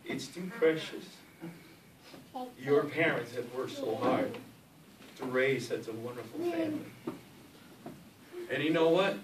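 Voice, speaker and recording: A man's voice: mid-range voice, very animated, measured pace, long pauses, a crowd in the background. Older man, stern and blunt. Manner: blunt, admonishing, repeats himself. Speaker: grandfather addressing family reunion. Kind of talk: stern family speech. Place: large room at family gathering. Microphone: distant from recorder. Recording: echoey room, distant, noisy.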